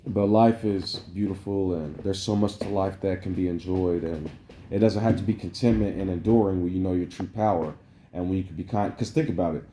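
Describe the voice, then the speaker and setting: A man's voice: low-pitched, fairly even intonation, brisk, mellow, a little hiss or hum. A man delivering a meandering, preachy spiritual monologue to camera, full of "like" fillers. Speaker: vlogger. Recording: roomy echo.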